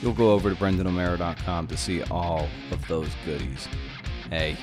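A man with a low voice speaks as a podcast host. His delivery is conversational, with playful emphasis and asides, and guitar music is heard with him. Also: moderate pace. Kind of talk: scripted podcast intro, then conversational interviewing.

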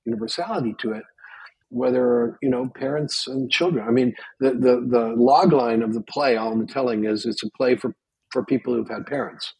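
An older man from Canada, whose first language was Yiddish, telling stories in a casual podcast chat with lively restarts. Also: mid-range voice, moderate pace, breathy edge, dry recording, rough recording. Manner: animated storyteller with frequent restarts. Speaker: guest.

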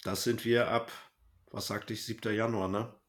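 Low-voiced man in casual podcast chat. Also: flat delivery, normal speed, fairly bright tone, a little hiss or hum. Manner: chatty, informal, rambling. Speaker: podcast host.

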